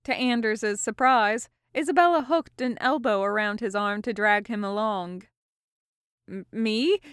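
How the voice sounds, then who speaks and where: A woman's voice: mid-range voice, moderate pace, fairly bright tone, clear, resonant tone, no room sound, clean studio sound. A woman reading fiction aloud as a scripted narration. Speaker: amateur audiobook reader.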